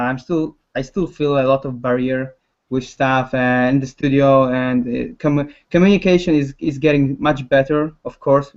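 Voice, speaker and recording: A man with a Polish accent, a concept artist speaking English as a second language in a casual chat, halting and often restarting his words. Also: a little high, lo-fi sound.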